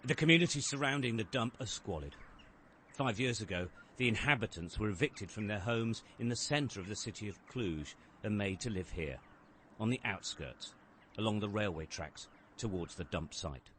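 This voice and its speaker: A man narrates a news report with a somewhat low, steady voice. His delivery is measured and grave. Loud outdoor noise sits under the recording. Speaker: news correspondent.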